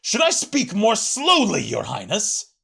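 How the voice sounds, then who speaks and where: A man playing a stern, stubborn warrior mentor in an audio drama, gruff and clipped in manner. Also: high-pitched, huge pitch swings, measured pace, fairly bright tone, heavily acted. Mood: angry scolding. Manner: gruff, clipped commands, scolding.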